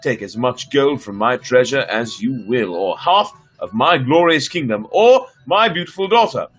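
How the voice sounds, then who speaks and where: A man's voice: mid-range voice, lively intonation, normal speed, a bit bright, husky, soft background music. A man voicing a grand, generous fairy-tale king, proclaiming as in a storybook. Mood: grandly generous.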